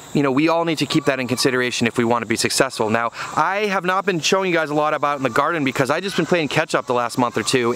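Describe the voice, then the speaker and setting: A man's voice: mid-range voice, fairly bright tone, slight background noise. A man in his thirties, a farmer and vlogger, talking casually and earnestly to the camera outdoors on his farm. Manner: earnest, conversational, many "you know" fillers. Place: outdoors on a small farm field. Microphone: camera mic at arm's length, then on a tripod.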